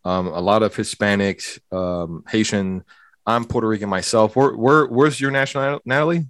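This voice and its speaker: A man's voice: lowish voice, normal speed, no room sound, clean studio sound. A man from Hialeah, Florida, hosting a podcast in a laid-back, casual way. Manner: laid-back, says bro a lot. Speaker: podcast host.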